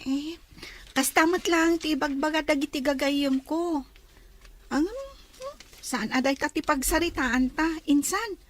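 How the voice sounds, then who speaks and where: An actress playing a gentle teenage granddaughter in a radio drama, speaking softly and often close to tears. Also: female voice, high voice, fairly even intonation, measured pace. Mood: friendly. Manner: soft, pleading, often tearful.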